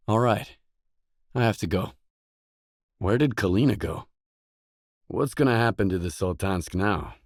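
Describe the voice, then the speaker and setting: An actor playing a gruff, guarded lone mercenary in a video game, speaking in a terse, stern, matter-of-fact way. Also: male voice, deep voice, a little mellow, no echo, studio quality, full character voice. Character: gruff, guarded lone mercenary man, blunt and cool-headed. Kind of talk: scripted game dialogue lines.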